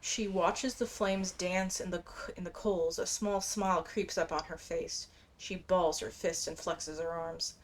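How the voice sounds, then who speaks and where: A woman's voice: lowish voice, fairly even intonation, measured pace, hardly any pauses, low-quality recording. A woman giving casual, informal gameplay commentary, musing aloud and reading bits of quest text. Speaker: game streamer. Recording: faint background noise and game ambience.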